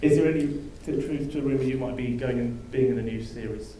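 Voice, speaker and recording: A man's voice: mid-range voice, fairly even intonation, quick pace. Man in a noisy, echoey hall. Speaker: audience member asking a question. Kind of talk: casual spoken question. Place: convention hall, away from microphone. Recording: very echoey hall, loud noise.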